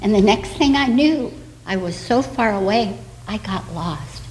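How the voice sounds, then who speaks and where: A woman's voice: medium pitch, lively intonation, a little slow, darkish tone, in a large hall, slight background noise, lightly acted, poor audio. A woman voicing a lost, teary baby chick in a children's story, with a small, plaintive voice.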